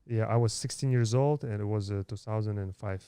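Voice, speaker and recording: Low mellow-voiced man in his thirties. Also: clear, resonant tone, no echo, clean studio sound. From Kazakhstan. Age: 30s. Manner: halting, many fillers and restarts. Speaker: podcast guest. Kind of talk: casual interview storytelling.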